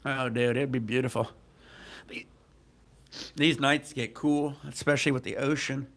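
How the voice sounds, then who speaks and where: A man's voice: mid-range voice, fairly even intonation, normal speed, fairly bright tone. A man with a stuffy, congested nose, livestreaming outdoors on a cruise ship at night through a handheld mic, chatting in a laid-back, profane, joking way. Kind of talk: casual live stream chat. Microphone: handheld microphone.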